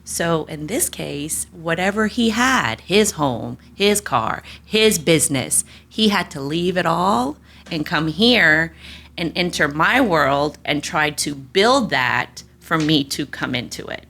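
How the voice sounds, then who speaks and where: Woman talking loosely, lots of um and like, low bright voice. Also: lively intonation, takes it slowly. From United States. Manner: candid, rambling with many fillers and restarts. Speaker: guest. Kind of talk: casual interview conversation.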